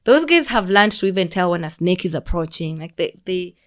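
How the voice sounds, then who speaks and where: A young woman with a Kenyan accent, a podcast guest explaining things in a relaxed, teacherly way with frequent restarts. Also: on the low side, fairly quick. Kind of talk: casual conversational explaining.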